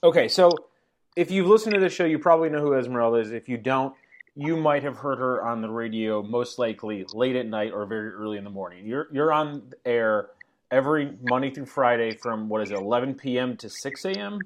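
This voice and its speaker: A man's voice: medium pitch, animated, fairly quick, slight background noise. A man hosting a casual podcast, asking a guest questions in a playful, joking way. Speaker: podcast host. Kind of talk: casual chat.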